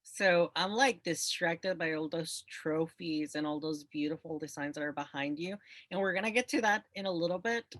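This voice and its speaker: A man's voice: fairly high pitch, moderate pace, hardly any pauses, a bit bright, no room sound. A man hosting an interview show, upbeat and chatty, laughing easily with his guest. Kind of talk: casual interview chat.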